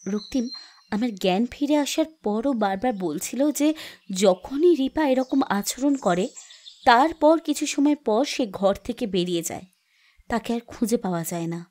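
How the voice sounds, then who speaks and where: A woman's voice: a little high, expressive, a little slow, mellow. A woman playing an anxious, suspicious young friend, questioning and confiding in an audio horror story. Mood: uneasy. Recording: music under the voice.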